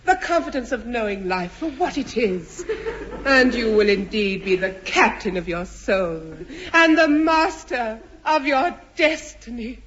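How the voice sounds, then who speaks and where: Melodramatic, motherly radio comedy lady, florid, high swooping lines, dull vintage recording. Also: expressive, a little slow, a bit bright, slight background noise. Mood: lofty consoling. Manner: florid, earnest, theatrical. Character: fussy, melodramatic, motherly woman of lofty sentiment. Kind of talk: 1950s radio sitcom acting.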